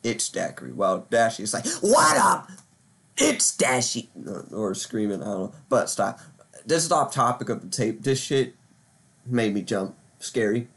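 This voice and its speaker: A man's voice: medium pitch, big pitch swings, normal speed, gravelly. A man hosting a reaction video with casual, slangy commentary, joking and swearing freely. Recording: faint music behind the voice. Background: soft background music.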